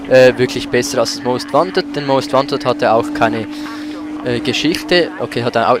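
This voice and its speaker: A man hosting a let's play in a casual, conversational way. His voice sits at a medium pitch. He comments as he plays, pausing now and then to search for the right word.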